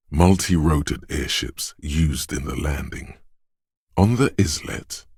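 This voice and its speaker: Very deep, husky, watchful inner voice. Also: male voice, no room sound, studio quality, exaggerated voice. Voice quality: husky, gravelly, breathy. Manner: slow, deliberate, hushed, savoring each sound. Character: inner voice of perception, hushed, watchful, poetic narrator. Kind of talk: atmospheric scripted game narration.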